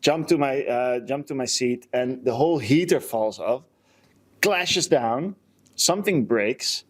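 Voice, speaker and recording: A man's voice: medium pitch, animated, unhurried. Rambling male podcaster. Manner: rambling, self-correcting. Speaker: podcast co-host. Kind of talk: casual chat, personal storytelling.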